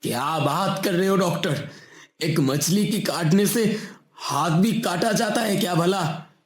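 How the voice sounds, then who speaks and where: A man's voice: sits high, takes it slowly, roomy sound, a crowd in the background. A man voicing a strong male wrestler who begs and pleads, in a Hindi cartoon. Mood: worried. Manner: pleading, begging.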